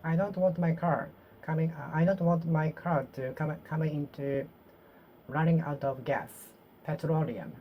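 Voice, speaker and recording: A Japanese woman with a Japanese accent practicing English aloud to herself, halting and repeating phrases as she corrects them. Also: sits low, steady tone, lo-fi sound, slight background noise. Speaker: vlogger. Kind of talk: solo English speaking practice, talking to herself.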